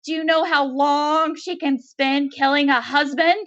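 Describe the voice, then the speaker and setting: A woman reader performs a male alien insect from a science fiction story. The voice is pitched up, panicky and wailing. He complains with bitter sarcasm and resentment. The sound is a little dull. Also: steady tone, measured pace, fairly bright tone. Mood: distressed, accusing.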